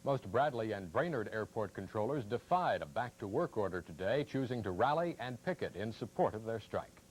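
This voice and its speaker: A man's voice: lowish voice, lively intonation, normal speed, rough recording. A man with an American accent reading scripted television news as an anchor. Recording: faint noise, archival TV broadcast.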